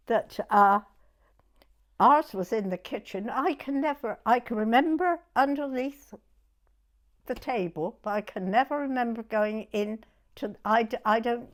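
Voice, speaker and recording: A woman in her nineties with a southern English accent, reminiscing hesitantly in an interview, often repeating herself and trailing off. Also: on the high side. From Weymouth, Dorset, England. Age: 90s. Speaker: oral history interviewee.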